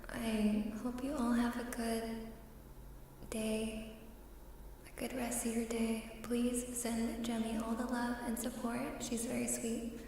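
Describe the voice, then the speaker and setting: A woman streamer chatting softly in ASMR style, often slipping into a breathy whisper, hesitant and sounding unwell. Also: a little high. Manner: slow, hesitant, halting, apologetic. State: unwell, queasy. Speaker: live streamer. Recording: very echoey, distant, faint background noise.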